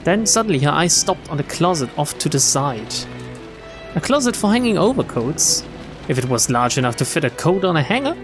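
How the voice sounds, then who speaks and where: Animated man streaming, music behind. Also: a little high. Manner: expressive reactions, casual swearing. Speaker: game streamer. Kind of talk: let's-play reading of a visual novel with casual commentary.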